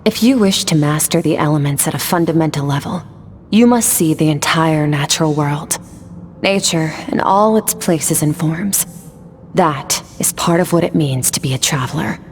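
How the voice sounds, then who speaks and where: Calm lowish lady game mentor, serious teaching tone, big reverb. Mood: calm, approving. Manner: measured, instructive, solemn. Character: composed female warrior mentor guiding a student. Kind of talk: scripted video game dialogue. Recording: long reverb under music.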